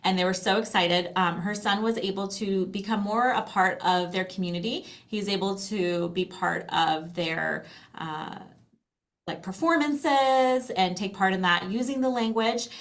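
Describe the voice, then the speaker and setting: A woman's voice: medium pitch, a little slow. A woman with a mid-range voice talks as a guest in an interview. She explains in a chatty, conversational way, slipping into little quotes and checking in with tag questions. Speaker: interview guest, company founder.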